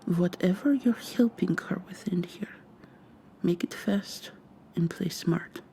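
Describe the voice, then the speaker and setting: A woman playing a stern, guarded authority in a vampire district, with a breathy, whispery, measured warning delivery in ASMR roleplay. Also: on the low side, warm tone. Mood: cautionary, curt. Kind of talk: intimate ASMR roleplay acting.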